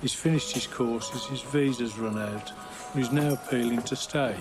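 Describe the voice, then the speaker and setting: A man working as a High Court enforcement agent, talking bluntly and matter-of-factly about a job. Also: moderate pace, music in the background, low-quality recording. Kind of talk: on-the-job talk and interview.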